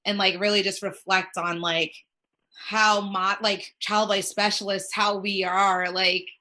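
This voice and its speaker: A woman's voice: mid-range voice, measured pace. A woman working as a child life specialist, chatting casually and rambling with lots of "like" fillers. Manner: rambling, heavy use of "like", quoting others in lively voices. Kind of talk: casual conversation on a podcast.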